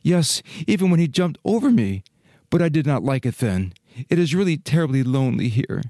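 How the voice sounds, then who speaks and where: A man's voice: a little high, sing-song, a little fast, dark tone, no echo. A man voicing a naive, vain and wistful young fir tree in a children's storybook, musing aloud. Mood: wistful, lonely. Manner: musing aloud in rhetorical questions. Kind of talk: dramatized children's storybook reading.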